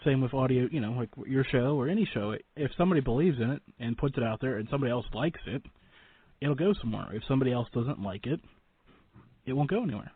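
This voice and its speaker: A man's voice: medium pitch, lively intonation, fast, husky. A man hosting a casual radio interview, chatty and informal with colloquial phrasing. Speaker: paranormal radio show and podcast host. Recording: dull, band-limited radio call audio.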